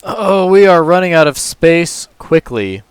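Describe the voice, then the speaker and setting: Male gaming host, hoarse and tired, unhurried walkthrough commentary, smooth clear tone. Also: fairly high pitch, no echo. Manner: relaxed, talks through moves step by step. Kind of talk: casual gameplay commentary.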